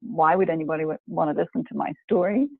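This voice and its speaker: Woman from new zealand in her 40s, guest on a live chat, talks slow and careful with lots of pauses, tinny phone-line sound, really dry. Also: sits low, gravelly, husky, dry recording. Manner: measured, pausing often to find words. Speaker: guest, executive coach. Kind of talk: personal storytelling in a live video chat.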